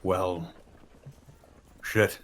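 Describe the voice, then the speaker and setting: A man's voice: low voice, steady tone, very slow, a bit bright, slight background noise. A man playing a tabletop role-playing game, talking casually and somewhat hesitantly.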